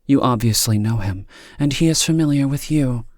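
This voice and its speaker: A stern woman of authority in an audiobook , acted out by a male narrator. The voice is pitched up and close in a dry recording, with a cold, clipped delivery that is impatient and condescending. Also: moderate pace, a little mellow, dry recording, natural voice. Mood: cold, commanding.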